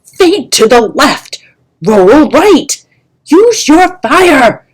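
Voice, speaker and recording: A woman's voice: high-pitched, very animated, extremely slow, pitched above the performer's own voice, heavily acted, low-quality recording. A woman voicing a fierce older female dragon teacher who snarls, bellows and mocks her pupil in a storybook reading. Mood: bellowing.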